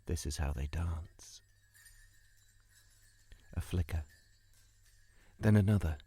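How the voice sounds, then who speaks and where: Low-voiced man slowly reciting poetry. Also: dark tone, breathy edge, faint music behind. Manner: deliberate, dramatic phrasing in short lines. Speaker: guest poet reading a poem.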